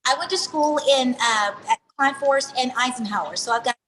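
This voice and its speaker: A woman singer, born in New Jersey and raised in Houston, chatting casually in an interview with quick slangy asides. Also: high voice, fairly bright tone, a little hiss or hum, low-quality recording. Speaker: R&B singer, guest.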